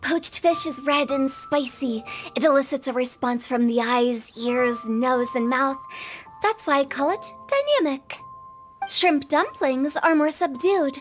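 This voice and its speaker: A woman voicing a playful, mischievous young woman who teases and lectures with flair. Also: high-pitched. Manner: teasing, sing-song, lecturing with flair.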